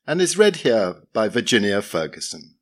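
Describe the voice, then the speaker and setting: A man's voice: lowish voice, very animated, normal speed. A man introducing and closing a recorded reading in a plain, matter-of-fact announcing manner. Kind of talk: scripted introduction and outro.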